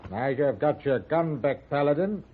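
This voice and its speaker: An actor playing a firm, commanding armed townsman in an old-time radio Western, with a rough, husky voice. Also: a little high, animated, moderate pace, a little hiss or hum, lightly acted. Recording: tinny phone-like old radio recording.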